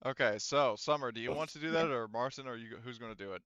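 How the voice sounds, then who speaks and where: A man runs a tabletop roleplaying session in a casual, joking way. His voice is clear and bright, recorded dry with little room sound. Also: mid-range voice, quick pace, no room sound, lo-fi sound. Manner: informal, joking. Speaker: game master.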